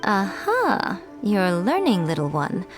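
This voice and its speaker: An actress playing a poised, condescending female familiar in a video game, with an arch, wry, unhurried delivery. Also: mid-range voice, huge pitch swings, loud music behind the voice. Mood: knowing, explaining. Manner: arch, wry, playfully haughty. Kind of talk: video game character acting.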